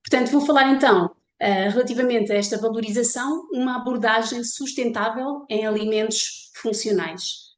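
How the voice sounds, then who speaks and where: A woman professor with a European Portuguese accent gives a formal, measured academic presentation. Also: a little high, roomy sound. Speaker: professor and researcher. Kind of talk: online conference presentation.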